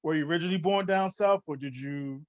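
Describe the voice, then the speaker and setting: Eager host, muffled dry sound. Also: male voice, on the high side, fast talker, dry recording. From New Jersey, born in Newark, raised in Orange. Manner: eager, repeats "like I said", laughs often. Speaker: interview host. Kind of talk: casual interview.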